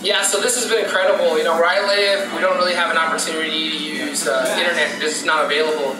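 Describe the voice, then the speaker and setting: A man's voice: a little high, steady tone, fast, noisy background. A man in his twenties from rural Texas, a farmer who plays esports, talking in a casual, friendly way in a player interview. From Poteet, Texas, USA. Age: 20s. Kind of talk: pre-recorded player interview. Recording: roomy, distant, loud music.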